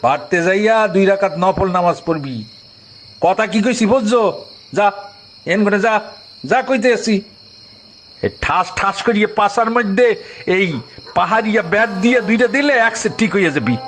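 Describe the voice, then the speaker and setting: A man's voice: high voice, animated, normal speed, pauses often, a bit bright, a little hiss or hum. A man playing a pompous, bullying policeman in an audio drama, with a Barisal accent and odd English words thrown in. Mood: hectoring, scornful. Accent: Barisal regional Bangladeshi. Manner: hectoring, sprinkles in English words.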